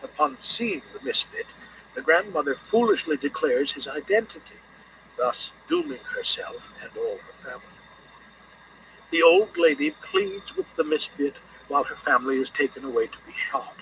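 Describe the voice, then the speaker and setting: Male literary narrator, measured and deliberate with lively intonation; recording sounds distant with clear background noise. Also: fairly high pitch. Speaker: audiobook narrator.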